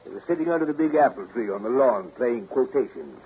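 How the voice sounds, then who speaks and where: An actor playing a gruff, grumbling old grandfather in a blustery, emphatic 1930s radio drama style. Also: mid-range voice, expressive, fast, slight background noise, natural voice. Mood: grumbling reminiscence. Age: older. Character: gruff old grandfather, grumbling, practical-minded family provider. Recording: tinny 1930s radio broadcast recording, music behind.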